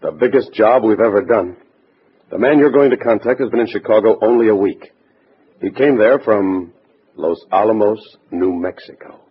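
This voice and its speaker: An actor playing a brisk, authoritative party boss in a 1950s radio drama, with a formal, businesslike manner. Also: male voice, on the low side, natural voice. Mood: stern, urgent. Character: brisk, authoritative male Communist party boss giving orders. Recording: muffled, faintly noisy vintage radio recording.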